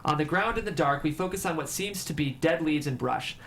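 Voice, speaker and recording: A man's voice: a little high, a little fast, a bit bright, slight background noise. A man reading stage directions as narrator at a table read, with dramatic storytelling delivery.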